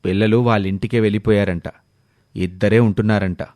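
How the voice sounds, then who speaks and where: A man plays an exasperated husband in an animated moral tale. He talks in a lowered, insistent voice, captured dry. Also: brisk, dry recording, close to an everyday voice. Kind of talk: animated moral story character voice.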